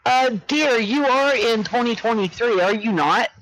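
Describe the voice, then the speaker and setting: A woman's voice: on the high side, measured pace, fairly bright tone, no echo, low-quality recording. A woman in her fifties chatting casually on voice chat, teasing and laughing often. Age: 50s. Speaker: streamer and raid player.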